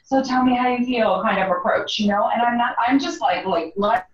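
A woman's voice: fairly high pitch, normal speed, lo-fi sound. Direct woman coach, roomy distant sound. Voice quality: strong voice. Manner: direct, no-nonsense. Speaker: guest coach, former psychotherapist. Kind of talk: conversational interview.

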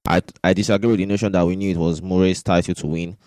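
Low-voiced man debating sport on a podcast. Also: flat delivery, quick pace, darkish tone, dry recording. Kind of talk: sports commentary and discussion.

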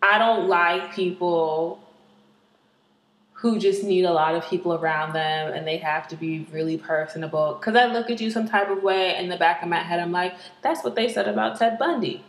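A woman in casual banter, teasing and wry, with quick deadpan jokes. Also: fairly low pitch, reverberant, rough recording.

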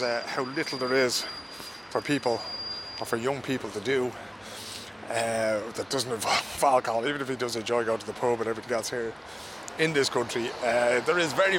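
An Irish man hosting a casual, chatty interview show on location. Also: medium pitch. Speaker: podcast host and interviewer. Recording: noisy outdoor location with traffic.

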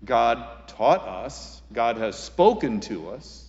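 An American man in his sixties preaching a sermon as a pastor, speaking deliberately with emphatic pauses and phrases that fall away. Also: mid-range voice, sing-song, darkish tone. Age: 60s. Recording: muffled, reverberant hall recording.

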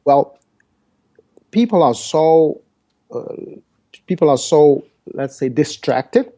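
Dutch-native man with a mellow voice. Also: medium pitch. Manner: hesitant, repeats words while searching for phrasing. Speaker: guest speaker. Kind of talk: podcast interview.

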